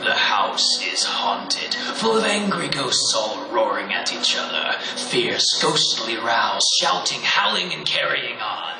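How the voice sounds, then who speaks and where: Slow-talking rustic caretaker of a haunted inn, drawling his words with a lively, rising and falling voice, as an actor plays him. The stage is roomy, with music playing behind. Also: mid-range voice, vocal fry, exaggerated voice. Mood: spooky. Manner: slow drawling storyteller, folksy. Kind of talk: live radio drama acting.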